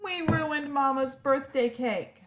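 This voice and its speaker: A woman voicing excitable little monkey children for a picture-book read-aloud, in an eager, exclaiming manner. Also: sits high, takes it slowly, voice pushed up. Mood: dismayed. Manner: eager, hushed shushing and exclaiming.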